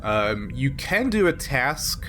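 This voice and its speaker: A man's voice: medium pitch, very animated, takes it slowly, a bit bright. A man working as game master, narrating and refereeing a tabletop game in an improvised, explanatory way. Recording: music behind the voice. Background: music.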